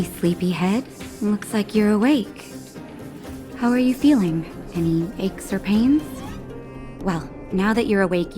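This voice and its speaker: A woman playing a caring, knowing doctor in a video game, gentle but firm, with music behind. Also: medium pitch, lightly acted. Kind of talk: scripted game cutscene acting.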